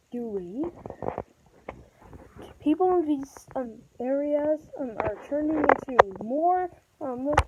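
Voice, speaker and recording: A woman with a high, soft-edged voice delivers a homemade emergency alert. She reads slowly and haltingly, stumbling over her words. The recording is dull and slightly noisy. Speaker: vlogger acting as emergency alert announcer. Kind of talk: homemade mock emergency broadcast announcement. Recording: dull, muffled home recording with noise.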